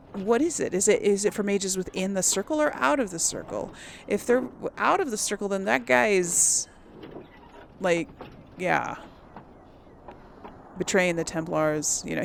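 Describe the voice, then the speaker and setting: A woman's voice: mid-range voice, gravelly, slight background noise. A woman hosting a casual game playthrough, thinking aloud in a hesitant, musing way. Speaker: gaming video host.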